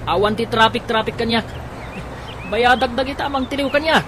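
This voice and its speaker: A man's voice: extremely high pitch, normal speed. A man with an Ilocano accent playing a lazy, impatient, bossy employer in a radio comedy drama, giving curt commands. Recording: noisy, with ambient and vehicle sounds.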